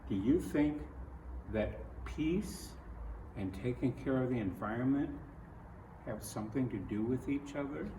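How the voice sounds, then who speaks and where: Slow-paced man reading to children, roomy sound. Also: mid-range voice, animated. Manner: deliberate, simple phrasing, asks the listener questions. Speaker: children's picture book reader. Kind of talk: read-aloud to children with discussion questions. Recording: roomy, distant mic, clearly noisy.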